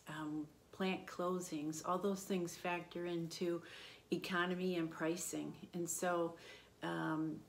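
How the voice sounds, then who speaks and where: A woman in her fifties, a real estate agent, talking to camera from a room at home and calmly listing her points one by one. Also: on the low side, a little hiss or hum. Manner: steady, confident listing of points. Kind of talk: promotional talk to camera. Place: indoor home room by a window.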